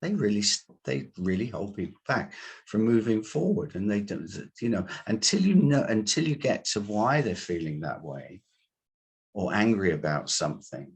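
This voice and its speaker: A man, a coach being interviewed, explaining his work in deliberate, halting phrases. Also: on the low side, big pitch swings, breathy edge. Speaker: guest, coach and hypnotherapist.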